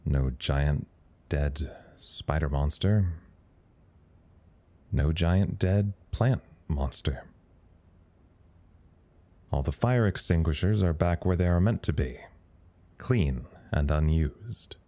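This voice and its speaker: An actor playing a weary, self-deprecating communications officer in an audio drama, talking in a rambling, wry way. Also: male voice, very deep voice, slow pace, pauses often, a little mellow. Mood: weary, dry. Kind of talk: audio drama recorded log monologue.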